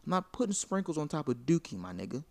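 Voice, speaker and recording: A man's voice: a little high, very animated, fast, mellow. A man arguing his point in a heated casual conversation, blunt and profane.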